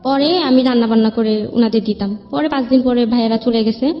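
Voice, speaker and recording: A woman's voice: fairly high pitch, brisk. A woman with a Bangladeshi accent tells her personal story in an interview, plainly and at length, often quoting what others said. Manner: rambling, plain-spoken narration with quoted dialogue. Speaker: guest telling her life story. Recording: band-limited dull sound with background music.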